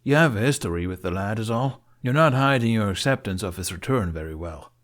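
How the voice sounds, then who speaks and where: A man's voice: on the low side, lively intonation, a little fast, close to an everyday voice. A man voicing a chief's cautious brother, a reasoning and unapologetic adviser in a fantasy audiobook. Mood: cautioning, insistent.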